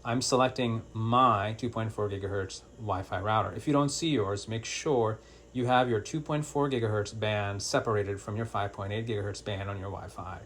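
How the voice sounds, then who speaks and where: Bright-voiced man giving a how-to demonstration. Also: on the low side, fairly even intonation, moderate pace, slight background noise. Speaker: tutorial presenter. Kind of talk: informal step-by-step product demonstration.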